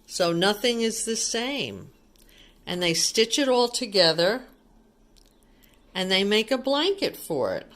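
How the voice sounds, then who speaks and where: A woman's voice: mid-range voice, big pitch swings, slow pace, pauses often, a bit bright. A woman reading a picture book aloud to young children like a teacher, explaining things simply and asking them questions.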